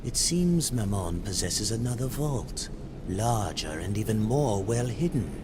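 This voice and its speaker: A man voicing a shrewd, calculating, scholarly demon merchant in a game cutscene, formal and deliberate. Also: on the low side, big pitch swings, background noise. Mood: intrigued. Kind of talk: video game cutscene acting.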